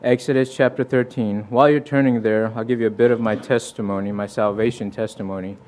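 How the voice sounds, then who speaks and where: A man's voice: lowish voice, fairly even intonation, a little fast, darkish tone. Earnest young man preaching a testimony. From Fiji. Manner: earnest, conversational, with restarts and quoted scripture. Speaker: visiting preacher. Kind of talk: sermon with personal testimony. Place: church.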